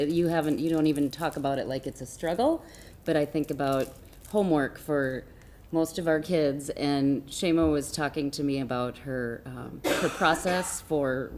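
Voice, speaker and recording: A woman's voice: low voice, darkish tone, a little hiss or hum. A woman on the school staff speaking with admiration about a student at a board meeting. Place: meeting room.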